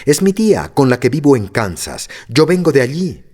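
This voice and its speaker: Male narrator doing a small pleading girl, high and earnest. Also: sing-song, moderate pace, warm tone. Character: young girl, lost and earnest.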